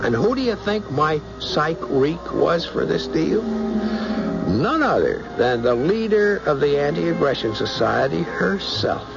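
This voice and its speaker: A man's voice: on the high side, very animated, slow, creaky, exaggerated voice. A man playing a conscientious, wry, argumentative pilot of the future in a radio drama. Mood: wry, reflective. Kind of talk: radio drama acting with first-person narration. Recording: muffled old radio broadcast with music.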